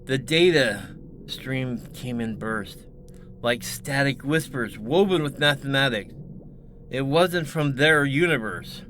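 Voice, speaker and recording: A man narrates science fiction as dramatic scripted storytelling. His voice is bright and forceful at a medium pitch, with faint noise and ambience behind it. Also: slow.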